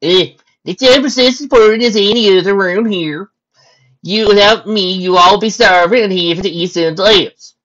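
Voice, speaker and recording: Woman playing a loud, boastful stallion soldier, raised pitch. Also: normal speed, close to an everyday voice. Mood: defensive bragging. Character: boastful, easygoing stallion soldier in a fantasy army. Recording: dull lo-fi recording.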